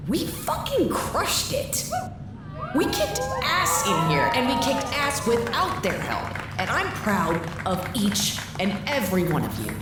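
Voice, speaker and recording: A woman's voice: fairly high pitch, huge pitch swings, measured pace, loud music behind the voice. A woman playing a blunt, weary leader of a rebel research staff in an audio drama, speaking frankly and dryly with some swearing. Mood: rousing. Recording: echoey room, clear background noise.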